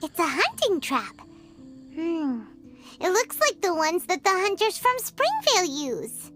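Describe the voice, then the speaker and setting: A woman voicing a tiny, excitable, childlike fairy companion in a video game. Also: measured pace, heavily acted. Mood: curious, alert. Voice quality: squeaky, childlike. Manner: exclaiming, speaks of herself in third person. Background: music.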